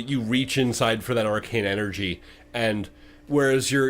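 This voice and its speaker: A man working as game master, improvising dramatic, descriptive narration for a fantasy tabletop game. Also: medium pitch.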